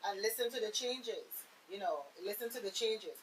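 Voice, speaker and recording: A woman talks as a radio panelist in a mid-pitched voice. She explains things earnestly and with emphasis, checking in with rhetorical questions. There is a faint hiss on the recording. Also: animated, moderate pace, low-quality recording. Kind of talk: conversational explanation on air.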